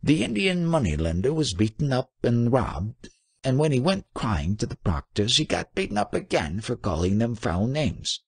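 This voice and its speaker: A man's voice: medium pitch, very animated, moderate pace, husky, no echo, pitched above the performer's own voice. A man voicing a huge, boisterous and superstitious trader in a storybook, with a bellowing, effusive manner.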